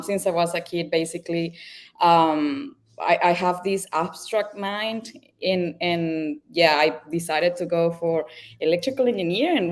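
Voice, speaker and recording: A woman speaks in a lowish voice as a guest on a video call. She talks in a halting way, full of fillers, restarts and easy laughs. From Venezuela. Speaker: guest engineer. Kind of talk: casual interview chat.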